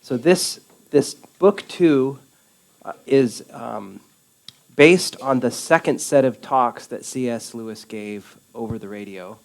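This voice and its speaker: A soft-spoken man leads a church study group, gently putting questions to the group and affirming what they say. He talks at an unhurried pace in a middle register. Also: mid-range voice. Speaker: Bible study leader. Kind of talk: leading a group discussion. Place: church meeting room.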